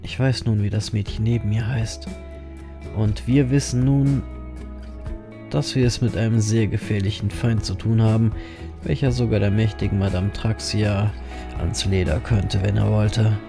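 A man's voice: lowish voice, fairly even intonation, normal speed, vocal fry, music in the background. A man playing a weary, wry reaper who narrates a noir horror audio drama in a dry, brooding way. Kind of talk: scripted first-person noir narration, German audio drama.

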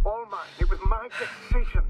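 A man's voice: sits high, steady tone, brisk, over loud music, lightly acted. An actor playing an earnest, guilt-burdened male mission commander in a dramatic sci-fi video game. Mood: desperate, anguished.

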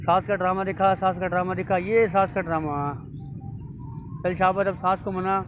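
A young man with a fairly high voice, vlogging casually. He repeats himself and drops in English catchphrases. The phone sound is dull, with clear outdoor noise around him. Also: flat delivery, normal speed. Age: young adult. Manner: chatty, repeats phrases, mixes in English catchphrases. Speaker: vlogger, host. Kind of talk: casual outdoor vlog commentary. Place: outdoors in a public park. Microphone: phone at arm's length. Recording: dull lo-fi phone audio, noisy park.

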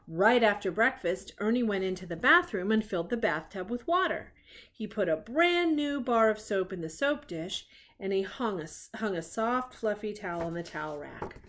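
A middle-aged woman reads a picture book to young children in her living room. Her pitch moves around a lot as she slips between narration and chatty questions. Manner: animated, questioning, child-directed. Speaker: grandmother reading picture books to children. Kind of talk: storybook read-aloud with casual asides.

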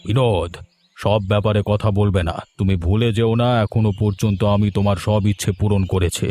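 A man playing a gruff, worried middle-aged farmer father in a cartoon, with a grumbling, weary manner. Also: lowish voice, steady tone, brisk, dark tone, close to an everyday voice. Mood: stern, troubled. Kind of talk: Bengali moral-story cartoon dubbing.

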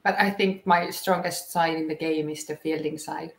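A young woman from Estonia, an English speaker, chatting casually and a little haltingly in an interview. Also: low-pitched, fairly even intonation. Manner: informal, slightly halting, giggly. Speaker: cricket player and coach. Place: small indoor room, seated on a couch. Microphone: shared video call microphone, at some distance. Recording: roomy echo on a video call.

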